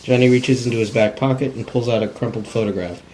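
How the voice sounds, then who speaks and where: A man's voice: mid-range voice, steady tone, fast talker, dark tone, a little hiss or hum, rough recording. A man narrating script directions in a plain, unpolished cold read, stumbling now and then. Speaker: narrator reading stage directions.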